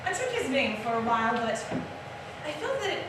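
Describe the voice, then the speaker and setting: A woman playing an eccentric, genteel mother with a high voice that swoops all over as she teases and purrs. She is arch and faintly sinister. The stage is echoey and far from the mic, with loud noise behind. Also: sing-song, fairly quick. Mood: wry. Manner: theatrical, arch and wry. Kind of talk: live stage play. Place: theater stage.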